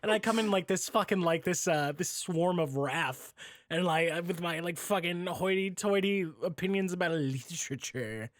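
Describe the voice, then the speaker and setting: A man in a casual podcast chat as a guest, talking in an animated, self-mocking way with free swearing. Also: high voice, normal speed, no room sound. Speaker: podcast guest, audio drama writer and producer.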